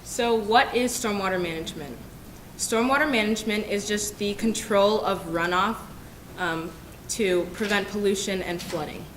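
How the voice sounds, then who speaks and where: A young woman from Michigan gives a class presentation, setting out each point in order. The lecture room echoes noticeably. A faint rumble sits underneath. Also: moderate pace, a bit bright. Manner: clear, orderly explaining. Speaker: student presenter.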